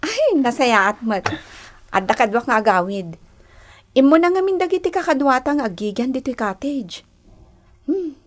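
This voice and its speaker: Coy young woman, high register, Ilocano Filipino accent, radio serial. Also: big pitch swings, normal speed, long pauses. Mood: coy, pleading. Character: sweet, coy young woman, close friend. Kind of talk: Ilocano radio drama acting.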